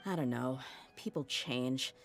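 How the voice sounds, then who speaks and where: A woman's voice: a bass voice, unhurried. A woman playing a tough, world-weary desert mercenary in a video game, blunt and guarded but candid. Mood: bitter. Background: game music.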